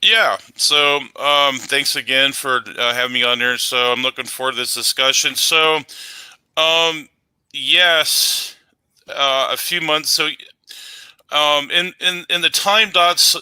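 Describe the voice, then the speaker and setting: Man debater reading citations; very bright, crisp voice, dry close sound. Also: on the high side, fairly even intonation, slow, no echo, rough recording.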